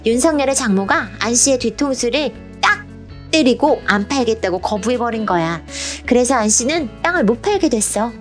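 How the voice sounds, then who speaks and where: A woman plays a patient young princess in a cartoon explainer. Her voice sits on the high side as she tells things step by step in a casual storytelling way. Faint music runs behind her. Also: animated, normal speed, close to an everyday voice. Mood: patient explaining. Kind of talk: scripted animated explainer in casual speech.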